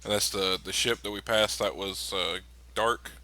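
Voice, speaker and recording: A man playing a tabletop role-playing game, talking casually in a halting, hesitant way. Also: fairly low pitch, steady tone, bright, forceful tone. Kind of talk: casual in-character tabletop play.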